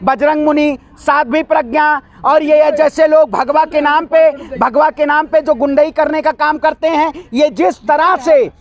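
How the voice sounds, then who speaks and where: High-pitched, combative man. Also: steady tone, unhurried, hardly any pauses. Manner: combative, emphatic, firing rhetorical questions. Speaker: political speaker being interviewed. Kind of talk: heated street political rant.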